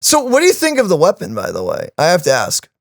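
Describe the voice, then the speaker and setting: Animated man chatting about a game. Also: high voice, fast talker, no echo, studio quality. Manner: animated, explains game stats with many fillers. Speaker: co-host of a game reaction channel. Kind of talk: casual reaction chat.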